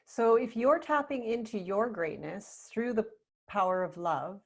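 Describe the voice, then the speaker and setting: A woman's voice: medium pitch, a little slow. A woman life coach hosting an interview, speaking in an affirming, enthusiastic way. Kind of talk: interview conversation.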